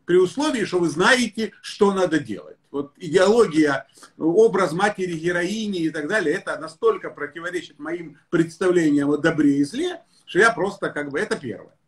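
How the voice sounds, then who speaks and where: A man in his sixties, a pediatrician hosting a live stream from his home study, talking in a warm, effusive, enthusiastic way. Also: a little high, animated, moderate pace. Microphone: webcam or phone on a video call.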